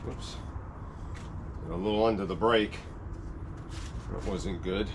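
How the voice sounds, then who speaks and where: Low male voice, folksy gardening vlogger, casual hands-on review, clear background noise with vehicle-like hum. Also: takes it slowly. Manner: folksy, chatty, talking while working. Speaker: gardening vlogger demonstrating equipment. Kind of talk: casual hands-on product review talk to viewers.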